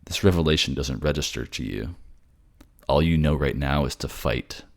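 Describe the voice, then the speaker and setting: A man's voice: extremely low pitch, moderate pace, creaky. A man narrating a fantasy drama in the second person, with a dramatic storytelling delivery.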